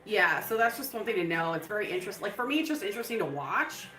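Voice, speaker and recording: A woman's voice: mid-range voice, animated, quick pace, pushed and bright, echoey room. A woman hosting a live stream, talking in a loose, rambling way full of fillers. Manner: breaks into laughter. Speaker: livestream host.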